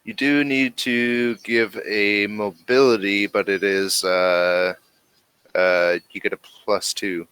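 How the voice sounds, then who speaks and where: Slow deep-voiced guy game mastering a tabletop rpg, keeps hunting for words, dry sound. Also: little pitch movement, a bit bright, clear, resonant tone, no room sound. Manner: halting, searching for words. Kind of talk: narrating a tabletop role-playing game.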